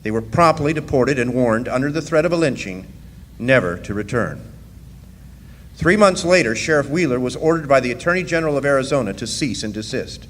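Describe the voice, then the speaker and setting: A man's voice: medium pitch, animated, a little fast, fairly bright tone, natural voice. Man acting a wry drifter narrator, hall reverb. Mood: wry, reflective. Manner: storytelling, dryly wry. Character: wry male rail-riding drifter looking back, 1910s. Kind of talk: radio play narration. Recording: live hall reverb, faint background noise.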